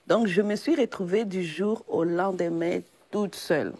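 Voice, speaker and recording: A young woman from the Democratic Republic of Congo, sharing a personal testimony as a guest in a quiet, halting, pausing way. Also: lowish voice, slightly rough, no room sound. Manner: soft, halting, pausing often. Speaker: guest evangelist. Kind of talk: personal testimony in a faith talk show.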